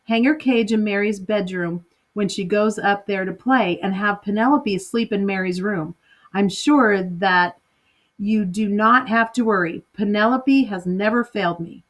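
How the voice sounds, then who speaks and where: A woman's voice: medium pitch, measured pace, close to an everyday voice. A woman voicing a kindly, confident older woman who reassures a worried mother. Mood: reassuring.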